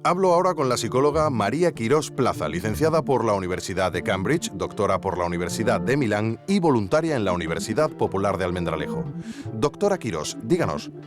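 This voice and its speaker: A man playing an earnest investigative journalist, narrating a scripted documentary mystery in a deliberate, theatrical way. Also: lowish voice, big pitch swings, music in the background. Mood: intriguing, deliberate. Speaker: podcast narrator and investigative interviewer.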